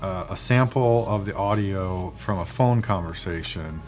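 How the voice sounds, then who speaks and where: A man giving a casual, unscripted product review, speaking in a measured way with thoughtful, hesitant phrasing. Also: deep voice, faint music behind. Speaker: product reviewer, video host.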